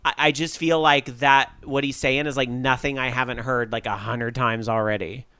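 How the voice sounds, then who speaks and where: A man's voice: mid-range voice, fairly even intonation, moderate pace, pushed and bright, clean tone, dry recording. A man hosting a podcast, chatting candidly and laid-back, hedging his opinions politely. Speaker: podcast host. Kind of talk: casual chat.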